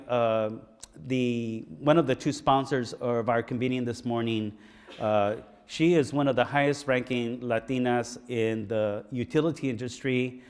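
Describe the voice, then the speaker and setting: Man introducing guests in a reverberant hall. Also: medium pitch. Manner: halting, frequent uhs and restarts. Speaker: host of the event. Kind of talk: formal introductions at a conference. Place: large conference hall. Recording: echoey hall with long reverb.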